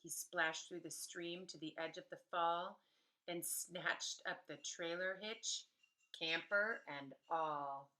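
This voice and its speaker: A woman reading a story aloud to young children like a preschool teacher, animated and emphatic, pausing to explain things. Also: lowish voice, rough recording.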